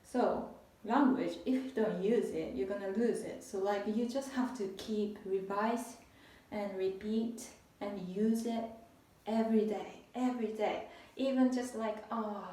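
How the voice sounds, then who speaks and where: A young adult woman from Japan, speaking English with a Japanese accent, giving a casual, halting language lesson as a tutor. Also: mid-range voice, steady tone, mellow, far from the mic. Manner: unhurried, halting, restarts phrases. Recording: echoey room, voice off-mic.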